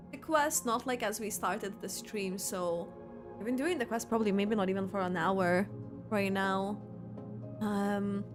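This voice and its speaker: A woman streamer reacting live to a game, chatting and thinking aloud expressively. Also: on the high side.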